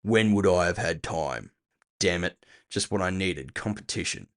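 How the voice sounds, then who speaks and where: A man's voice: low voice, unhurried, fairly bright tone. A man voicing a big, cool-headed orc bounty hunter, blunt and dryly cocky, in a fantasy audio drama. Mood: irritated. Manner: blunt, dry, confident.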